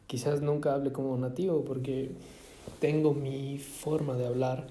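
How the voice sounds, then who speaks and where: A man from Ecuador musing aloud in a casual monologue, halting often with fillers and restarts. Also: mid-range voice, flat delivery, mellow, a little hiss or hum. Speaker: vlogger. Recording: echoey room.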